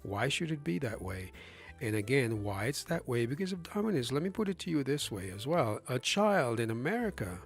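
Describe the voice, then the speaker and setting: A man with a middle-pitched voice hosts a casual live stream. He argues emphatically, leans on rhetorical questions and often stumbles over and restarts his words. Faint music plays softly behind him. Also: sing-song, a little fast, vocal fry, breathy edge. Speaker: livestream host.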